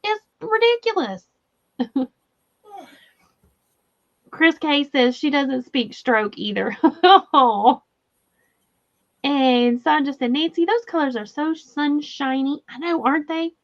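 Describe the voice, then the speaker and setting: A woman's voice: sits high, lively intonation, slow, long pauses. A woman with a Southern US accent hosting a crochet livestream in casual, laughing chat and reading viewer comments aloud. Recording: slightly dull lo-fi audio.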